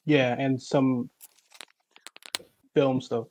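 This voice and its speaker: A man introducing himself as a freelance character designer on a video call, with brief low-key answers. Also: little pitch movement, drawn-out words, a little mellow, slight background noise, low-quality recording.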